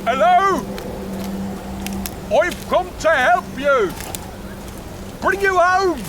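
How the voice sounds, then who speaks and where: An actor playing a grave, dogged tracker in a gothic audio drama, solemn and plain-spoken. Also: male voice, extremely high pitch, animated, very slow, noisy background, heavily acted. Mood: calling out. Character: grave, dogged, defiant tracker serving a doomed lord.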